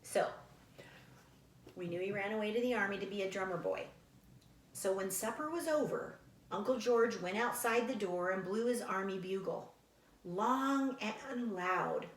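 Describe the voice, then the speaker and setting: A woman's voice: medium pitch, measured pace. Echoey woman reading a storybook aloud. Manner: explains to young listeners, animated sound imitations. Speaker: read-aloud teacher. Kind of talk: storybook read-aloud with casual explanatory asides. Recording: echoey room.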